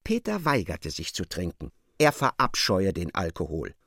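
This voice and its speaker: A man giving expressive, articulate literary narration for a radio play, close on a studio microphone. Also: sits low, normal speed, gravelly, dry recording.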